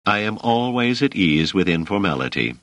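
A man's voice: low voice, big pitch swings, moderate pace, a bit bright, no echo, exaggerated voice. A man voicing a plump, merry, middle-aged motherly woman in an audiobook, gracious and politely chatty. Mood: pleasantly amused.

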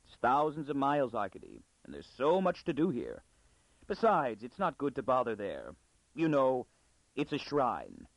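A man voicing a plump, good-natured older husband in an audiobook, with folksy, excitable phrasing. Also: medium pitch, normal speed, gravelly, no room sound, full character voice, low-quality recording. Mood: mild reluctance. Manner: folksy inverted syntax, excitable, defensive.

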